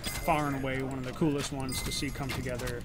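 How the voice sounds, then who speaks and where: A man's voice: mid-range voice, level tone, quick pace. A man in his late thirties, a game designer talking casually and earnestly, with many fillers. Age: 30s. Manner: earnest, enthusiastic, rambling with fillers. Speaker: creative designer. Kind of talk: casual stream chat. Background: game music.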